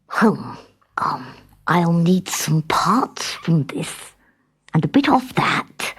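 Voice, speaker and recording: A woman voicing a timid, excitable little male adventurer in a children's storybook. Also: fairly low pitch, drawn-out words, breathy edge, exaggerated voice. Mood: muttering, busy.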